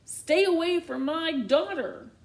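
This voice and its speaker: A woman's voice: very high voice, expressive, pitched above the performer's own voice, exaggerated voice. A woman voicing a furious, protective mother in a storybook, stern and unforgiving, biting out emphatic words. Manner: slow, emphatic, clipped commands.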